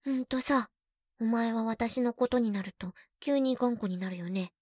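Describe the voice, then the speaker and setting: A young woman playing a teasing, shy girlfriend who is junior to the listener, in an ASMR roleplay, talking playfully and dropping into soft whispers. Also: on the high side, measured pace, dry recording, studio quality.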